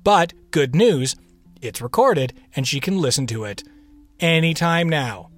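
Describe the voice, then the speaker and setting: A man's voice: fairly high pitch, animated, a little slow, fairly bright tone. Chatty male podcast host, music faintly behind. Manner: chatty, playful rhetorical questions. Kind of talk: casual podcast introduction.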